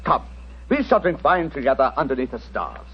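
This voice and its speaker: A man's voice: mid-range voice, big pitch swings, moderate pace, lightly acted. Casting: male actor as grave, welcoming camp patriarch, formal delivery, hugely varied intonation, muffled vintage radio. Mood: grave welcome. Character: grave, hospitable Romani patriarch, a father. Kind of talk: 1940s radio acting. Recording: dull, noisy 1940s radio recording.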